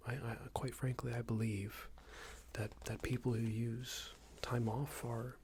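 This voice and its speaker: A man playing a smarmy, passive-aggressive company boss in a soft-spoken ASMR roleplay, hesitant and condescending, often half-whispered. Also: mid-range voice, flat delivery, measured pace, a little hiss or hum, close to an everyday voice. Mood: disapproving, condescending. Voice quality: breathy, half-whispered, creaky. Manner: hesitant, hedging, condescending, rambling corporate small talk.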